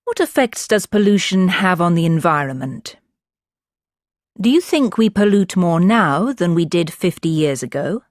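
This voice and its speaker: A woman reading exam questions in a clear, even, formal way. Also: lowish voice, measured pace, no room sound, studio quality. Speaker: examiner voice on course audio.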